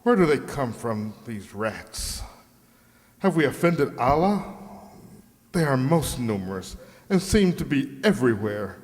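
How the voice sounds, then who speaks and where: A man's voice: mid-range voice, big pitch swings, unhurried. An actor playing a courtly, troubled King of Morocco in a children's radio play, speaking in formal, flowery phrases. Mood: embarrassed, troubled. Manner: formal, stately, flowery speech. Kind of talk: children's radio drama acting. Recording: reverberant hall sound.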